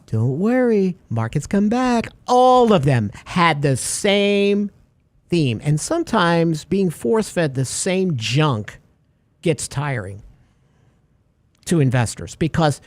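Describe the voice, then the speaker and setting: A man's voice: high voice, no echo. A man hosting a radio talk show, chatting casually and acting out little stories as he goes. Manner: animated storyteller, acts out little scenes.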